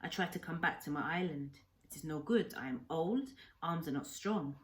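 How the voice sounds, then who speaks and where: A woman voicing an old Japanese island hermit from a storybook, angry, sorrowful and gentle, in short sentences of halting broken English. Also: deep voice, lively intonation. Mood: sad, frightened. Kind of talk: storybook read-aloud character.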